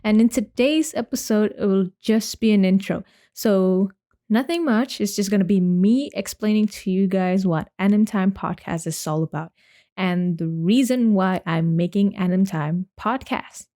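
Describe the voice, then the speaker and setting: A woman's voice: medium pitch, measured pace, mellow. Chatty young woman hosting a podcast. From Indonesia. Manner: chatty, rambling, with many likes and restarts. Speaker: podcast host. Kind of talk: casual solo podcast talk.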